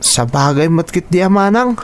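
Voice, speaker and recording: A man's voice: high voice, lively intonation, moderate pace. A man playing an eager, chatty young schoolboy, the younger brother in an Ilocano radio drama. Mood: thoughtful.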